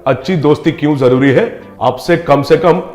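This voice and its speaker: A man's voice: a little high, normal speed, darkish tone, natural voice. A man playing a stern father giving advice in a comic moral story, on a roomy track. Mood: admonishing. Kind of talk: comic story cartoon dialogue.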